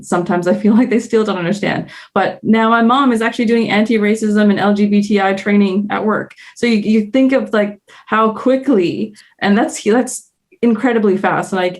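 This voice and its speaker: A woman's voice: fairly low pitch, darkish tone. A woman with a Canadian accent, speaking as a guest on a panel. She talks in an earnest, reflective way and thinks aloud as she goes. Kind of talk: candid panel discussion.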